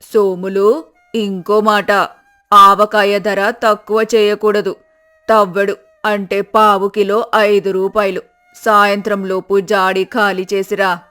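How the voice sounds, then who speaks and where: A woman's voice: mid-range voice, level tone, slow, a bit bright, faint music behind. A woman voicing a shrewd older village innkeeper in a folk tale, sly and persuasive. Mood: firm. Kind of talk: dramatized folk tale acting.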